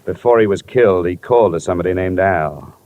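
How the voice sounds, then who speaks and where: A man acting a hard-boiled radio detective with a low voice. He talks in blunt, clipped phrases, like a relentless investigator. The sound is a muffled vintage broadcast. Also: quick pace, slight background noise, lightly acted. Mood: stern.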